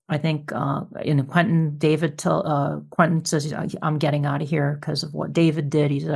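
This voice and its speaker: A man's voice: a little high, gravelly, husky. A man hosting a podcast interview in a casual, enthusiastic fan's manner. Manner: enthusiastic fan, effusive. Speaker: podcast host.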